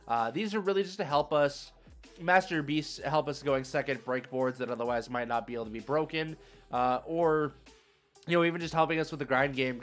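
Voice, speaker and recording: A man's voice: mid-range voice, fairly bright tone. A man live streaming a game, chatting casually with viewers in a rambling, conversational, slangy way. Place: indoors, solo at a streaming setup.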